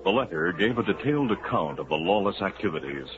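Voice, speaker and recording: A man narrating a radio drama as announcer, in a booming, declamatory delivery. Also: lively intonation, fast, loud music behind the voice. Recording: muffled, hissy 1950s radio broadcast.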